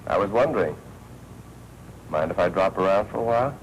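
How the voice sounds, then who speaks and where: Low male voice, shrewd unflappable newspaper editor, dry clipped banter, noisy old crime film soundtrack. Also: lively intonation, brisk. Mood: casual. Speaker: film actor. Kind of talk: 1940s crime film acting.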